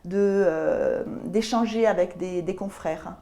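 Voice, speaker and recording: A middle-aged woman with a southern French accent and a soft, mellow, slightly low voice. She gives considered interview answers, pausing mid-phrase as she looks for the right word. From south of France (Albi). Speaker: guest, business lawyer. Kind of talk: interview answers about career.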